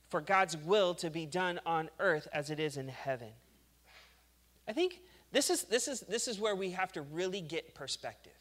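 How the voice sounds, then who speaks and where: A man's voice: sits high, sharp tone. A male pastor giving a conversational sermon, acting out the people he talks about. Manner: conversational preaching, acts out complainers.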